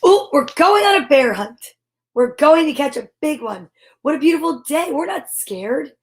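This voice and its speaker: A woman hosting an animated children's story read-aloud, with sing-song repetition, dramatic exclamations and casual asides. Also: high voice, moderate pace. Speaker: host reading picture books aloud.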